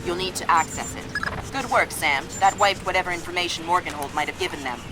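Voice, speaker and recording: An actress playing an intelligence analyst in a video game, precise, efficient and dryly witty. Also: female voice, fairly low pitch, fast, over music, close to an everyday voice, rough recording. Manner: precise, technical briefing.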